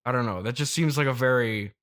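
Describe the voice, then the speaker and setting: A man's voice: medium pitch, fast talker, a bit bright. Man, mid-range voice, interview host, casual, hesitant, puzzled, understated comedy delivery.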